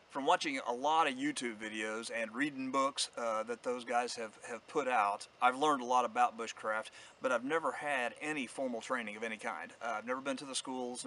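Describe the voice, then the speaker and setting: Bright-voiced middle-aged man, outdoor vlogger. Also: medium pitch. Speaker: outdoor channel host. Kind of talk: casual vlog talk to camera. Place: outdoors in woods. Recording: clear outdoor ambient noise.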